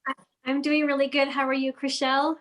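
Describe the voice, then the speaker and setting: Guest: woman raised in Denmark, higher voice, chatty, friendly, lots of ums. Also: flat delivery, fairly quick, fairly bright tone. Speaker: guest designer and decorator. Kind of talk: casual interview chat.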